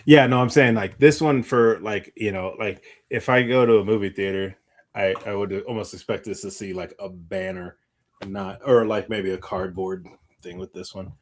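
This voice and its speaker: Low voiced guy cohosting a casual movie podcast, kinda rambly, starts and drops thoughts. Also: steady tone. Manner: rambling, halting, trailing off mid-thought. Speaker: podcast co-host.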